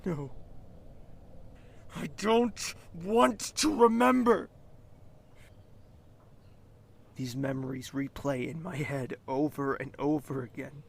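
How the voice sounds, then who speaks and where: An actor playing a young crown prince haunted by grief, speaking in a brooding, halting, dramatic way. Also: male voice, fairly high pitch, gravelly, a little hiss or hum. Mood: anguished. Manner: brooding, anguished, halting delivery. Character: grieving young crown prince haunted by his sister's death. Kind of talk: dramatic audio drama monologue.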